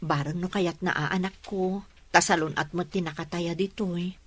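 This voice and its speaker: A woman with an Ilocano accent playing an ailing but resolute mother in a radio drama, speaking earnestly in a plaintive, measured way. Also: fairly low pitch, fairly even intonation, lightly acted. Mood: worried, hopeful.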